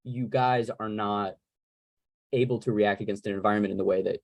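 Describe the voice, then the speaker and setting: A man's voice: fairly low pitch, fairly even intonation, a little fast, dry recording. Chatty, wordy man moderating, very dry sound. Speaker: moderator of a panel Q&A. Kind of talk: casual conversational interviewing. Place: remote video call.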